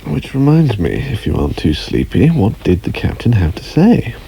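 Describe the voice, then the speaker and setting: An actor playing an urbane, affectionate, drily jealous husband in a radio drama, measured and playfully ironic. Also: male voice, medium pitch, sing-song, creaky, dark tone, a little hiss or hum, poor audio. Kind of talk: naturalistic radio drama acting.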